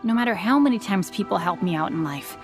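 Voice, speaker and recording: A woman's voice: medium pitch, animated, fast talker, soft background music, close to an everyday voice. A woman voicing an earnest, grateful young woman in a video game, speaking in a heartfelt way. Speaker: game character.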